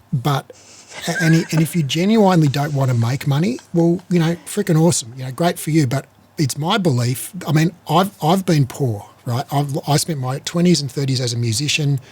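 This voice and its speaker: Guy in his 50s hosting a podcast, husky voice, medium pitch, blunt n sweary, stop-start chatty delivery. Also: a little mellow. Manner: blunt, sweary, halting with repeated restarts. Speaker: podcast host. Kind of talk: casual chat.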